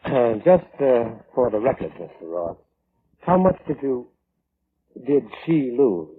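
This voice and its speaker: An actor playing a formal, drily sardonic coroner questioning a witness in a vintage radio drama. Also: male voice, medium pitch, big pitch swings, slightly rough. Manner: formal, measured, drily ironic. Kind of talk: 1940s radio drama acting. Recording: muffled, tinny old radio recording.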